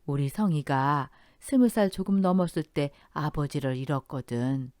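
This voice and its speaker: A woman's voice: low voice, moderate pace, darkish tone, dry recording, studio quality, close to an everyday voice. A woman voicing a kindly, spirited elderly mother-in-law with an affectionate, plain-spoken manner in a radio story. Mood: tender. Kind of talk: Korean radio story dialogue.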